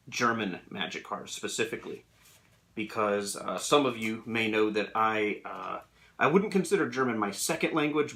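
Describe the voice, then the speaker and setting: A man's voice: medium pitch, bright, forceful tone. A young man in a room at home, talking thoughtfully about collecting cards while he sleeves them, rambling a little with plenty of fillers. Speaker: Magic: The Gathering YouTuber. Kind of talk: casual reflective monologue.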